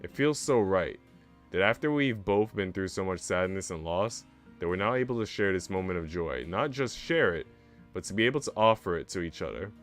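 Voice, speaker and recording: A man's voice: deep voice, expressive, a little fast, a bit bright, soft background music. A young man streaming a visual novel read-aloud, narrating with casual, slangy reactions.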